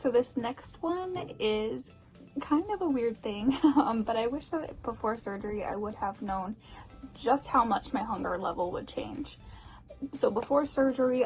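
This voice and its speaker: A woman's voice: a little high, low-quality recording. A young woman talking casually to her viewers in a personal vlog, chatty, with frequent laughs and "um" fillers. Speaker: vlogger.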